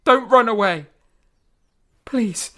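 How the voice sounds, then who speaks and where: A woman's voice: a little high, takes it slowly, a bit bright. A woman voicing a remorseful, hot-tempered young male composer who stammers, pleads and lashes out at himself in a stage-play style. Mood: pleading. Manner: stammering, pleading, breaks into frantic self-scolding.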